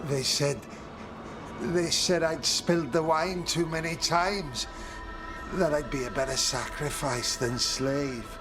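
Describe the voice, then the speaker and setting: An actor playing a frightened, meek escaped slave in a video game, speaking in a hesitant, faltering way. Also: male voice, fairly high pitch, slow pace, over music. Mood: frightened, shaken.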